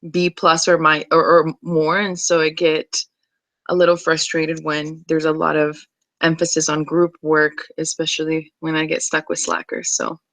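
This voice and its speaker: A woman's voice: low voice, monotone, normal speed, fairly bright tone, no room sound. A woman in her thirties, originally from Brazil, chatting casually as she introduces herself to a class, with many ums and restarts. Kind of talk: casual self-introduction video.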